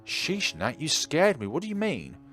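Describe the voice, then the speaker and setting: Man reading as flustered teenage schoolboy, lively pitch. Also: normal speed, full character voice. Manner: flustered, protesting. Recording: music behind the voice.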